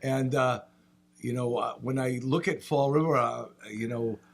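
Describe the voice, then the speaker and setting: A man speaks on a panel and tells family stories. He gets animated as the anecdotes build, and his voice sits at an ordinary pitch. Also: a little slow. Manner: animated storyteller, laughs at own jokes. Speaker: state representative.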